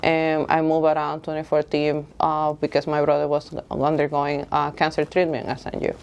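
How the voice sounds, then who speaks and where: A middle-aged woman from the Dominican Republic with a Dominican Spanish accent, explaining her case as a candidate in an earnest, halting way. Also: sits low, completely flat delivery, lo-fi sound. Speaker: school board candidate. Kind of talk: candidate interview, semi-prepared pitch.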